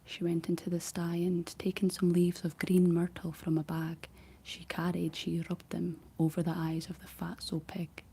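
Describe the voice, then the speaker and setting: A woman whispering a folk tale aloud in a soft ASMR style, stopping for playful asides. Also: lowish voice, monotone, moderate pace, gravelly, husky. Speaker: storyteller. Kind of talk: whispered ASMR story reading.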